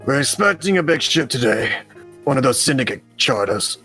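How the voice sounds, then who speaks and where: A man voicing a kindly old dockworker, a furry visual novel character with a hoarse, groggy voice. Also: mid-range voice, lively intonation, moderate pace, bright, forceful tone. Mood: matter-of-fact. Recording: music behind the voice.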